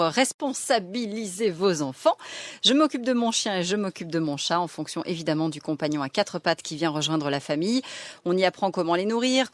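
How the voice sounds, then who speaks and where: A woman's voice: mid-range voice, no echo. A woman presenting as a radio journalist in a lightly conversational style. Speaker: radio journalist reviewing children's books.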